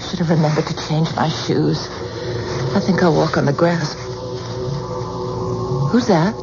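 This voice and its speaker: An actress playing a determined, frightened wife in a 1970s radio drama, urgent and pressing. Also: female voice, deep voice, a little slow. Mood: anxious. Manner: urgent and anxious, pressing hard. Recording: dull old radio broadcast with faint hiss.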